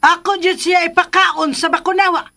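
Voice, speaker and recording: Comic Filipino sidekick, Cebuano radio drama: boastful, sharp, very high, mocking. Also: female voice, flat delivery, moderate pace, creaky, full character voice. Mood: mocking, gleeful. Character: gleefully boastful, comic sidekick threatening to feed rivals to monsters.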